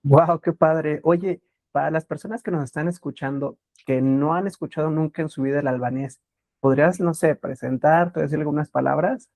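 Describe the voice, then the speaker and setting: Interviewer, gravelly and dark, dry close sound, casual prompts. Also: medium pitch, moderate pace, dry recording. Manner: brief encouraging backchannels.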